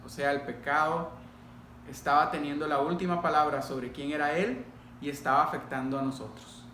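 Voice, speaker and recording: A middle-aged Costa Rican man speaks in a fairly high voice, as a pastor sharing his testimony. He talks deliberately, pausing and addressing listeners directly. The room is clearly echoey, with a faint layer of noise behind him. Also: steady tone, pushed and bright. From Costa Rica. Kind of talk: personal testimony told to a congregation.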